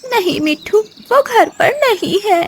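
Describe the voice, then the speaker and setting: Woman voicing a high-pitched, plaintive lazy mother crow. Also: expressive, measured pace. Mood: distraught. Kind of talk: Hindi children's cartoon voice acting.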